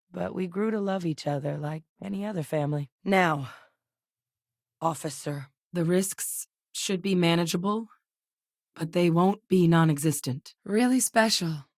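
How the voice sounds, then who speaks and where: An actress playing a steady, guarded former soldier turned scientist in a video game, speaking in a measured, matter-of-fact way and barking orders in combat. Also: female voice, fairly low pitch, no room sound, studio quality.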